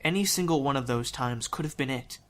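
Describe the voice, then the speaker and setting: A woman's voice: very deep voice, fairly quick. A woman playing a blunt, earnest young man haunted by near death, in a casual style for an amateur anime-parody audio drama.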